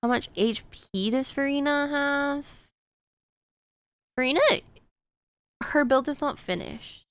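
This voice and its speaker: A woman's voice: sits high, slow pace, pauses often, a bit bright, no echo. A woman streaming a game, chatting casually to her viewers in a rambling way with frequent fillers. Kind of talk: casual chat while gaming.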